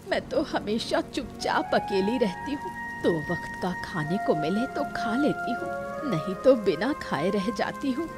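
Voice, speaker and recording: A woman's voice: mid-range voice, big pitch swings, a little slow, over loud music. A woman voicing a poor, wronged old mother-in-law in a cartoon melodrama, plaintive and aggrieved. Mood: plaintive, hurt. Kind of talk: Hindi cartoon family melodrama.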